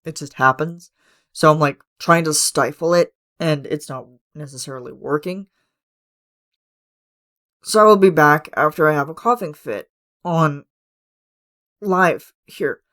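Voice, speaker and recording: Hoarse man rambling through a podcast. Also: on the high side, fairly bright tone. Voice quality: raspy. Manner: rambling, many fillers and restarts. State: hoarse, losing his voice; congested, coughing. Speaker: podcast host. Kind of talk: casual solo podcast chat. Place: his room at home.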